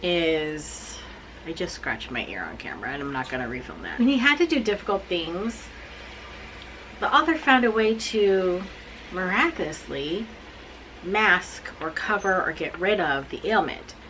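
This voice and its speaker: A woman in her forties recapping books she has read to camera, blunt, animated and self-mocking. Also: lowish voice, unhurried, a little hiss or hum, low-quality recording. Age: 40s. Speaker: book reviewer. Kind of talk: casual book recap to camera.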